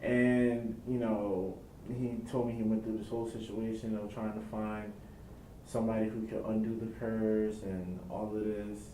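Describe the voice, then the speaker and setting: A young man speaks reflectively in a casual interview, stringing his thoughts together loosely. His voice is low and mellow. He sounds set back from the mic in an echoey room with a faint rumble. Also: little pitch movement, a little slow, far from the mic.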